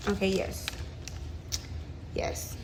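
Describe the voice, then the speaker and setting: A woman nail technician with an accent chatting excitedly as she unboxes her supplies in a casual vlog. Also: extremely slow, noisy background. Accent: non-native English accent. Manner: chatty and excited, lots of fillers. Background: loud crinkling and rustling of packaging.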